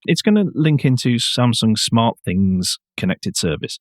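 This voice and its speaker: A man's voice: mid-range voice, sing-song, unhurried, no room sound, studio quality. A man co-hosting a podcast, talking casually with firm, emphatic opinions. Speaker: podcast co-host. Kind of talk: casual discussion of car news.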